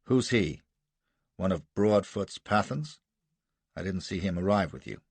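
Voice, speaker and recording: Brief: man playing a roaring, scornful American colonel, deep husky voice, lively swings. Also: measured pace, no echo, full character voice. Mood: blustering. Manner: barking, scornful, booming outbursts. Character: gruff brawling American colonel, blustering.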